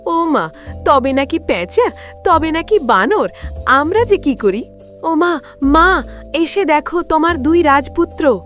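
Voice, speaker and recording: An eager young folktale princess acted by a woman, high-pitched and very lively in intonation. Faint music sits behind her polite, excitable speech. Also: slow pace, pauses often, heavily acted. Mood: delighted.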